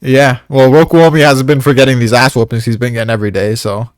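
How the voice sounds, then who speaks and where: A man's voice: medium pitch, flat delivery, quick pace, no echo, clean studio sound. A man chatting casually as co-host of a discussion show, rambling along in profane, slangy talk. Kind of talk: casual chat.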